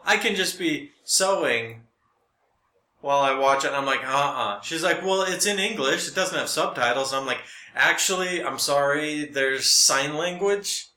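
Bright-voiced man podcasting in a roomy space. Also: on the high side, measured pace. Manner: animated storyteller, quotes people in dialogue. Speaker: podcast co-host. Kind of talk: casual podcast chat. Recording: roomy, echoing room sound.

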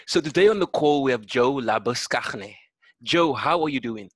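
A man hosts a podcast interview in a measured, encouraging tone. His voice sits at a middle pitch. The sound is dry and close. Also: expressive, fairly bright tone, dry recording, soft background music. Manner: measured, encouraging, uses um fillers. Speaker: podcast host.

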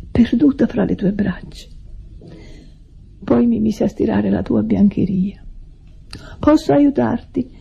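An actress playing a devoted, lonely sister in an Italian radio drama, husky and earnest, with a hurt confessional manner. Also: female voice, mid-range voice, full character voice. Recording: dull vintage radio drama recording.

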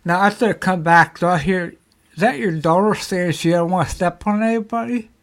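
A man's voice: sits high, fairly quick. Easygoing fifty-something male host. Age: 50s. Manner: easygoing, laughs often. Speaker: podcast host. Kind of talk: casual interview.

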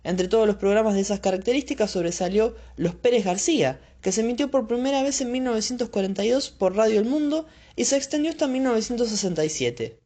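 Casting: Argentine female narrator, mellow, scripted and measured. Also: fairly high pitch, animated. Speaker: podcast host and narrator.

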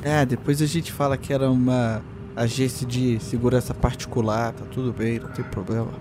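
A man plays a tabletop game, talking casually in character. His voice is average in pitch, with clear rumbling noise on the recording. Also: flat delivery, brisk.

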